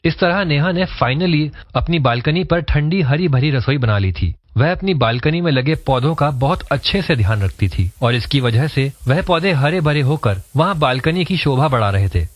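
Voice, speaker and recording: A man's voice: mid-range voice, lively intonation, normal speed, few pauses, no echo. Dry-sounding male cartoon story narrator.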